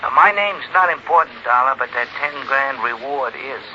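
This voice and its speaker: Muffled old radio: a shady tipster, very piercing, edgy timbre, terse. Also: male voice, medium pitch, expressive, moderate pace, sharp tone. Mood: terse, insistent. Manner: terse, insistent. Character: shady, secretive male informant phoning about a reward. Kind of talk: 1950s radio crime drama acting. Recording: muffled 1950s radio, faint hiss.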